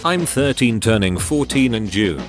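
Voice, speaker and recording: A man's voice: lowish voice, sing-song, unhurried. A man reading out online posts one after another, spelling abbreviations letter by letter. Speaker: Reddit post reader. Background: faint background music.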